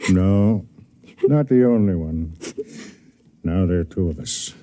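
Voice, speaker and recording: An actor playing a kindly, self-deprecating, quietly lovelorn man who also narrates, in a vintage radio drama. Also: deep voice, lively intonation, takes it slowly. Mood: consoling. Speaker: first-person narrator and lead. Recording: dull vintage radio recording.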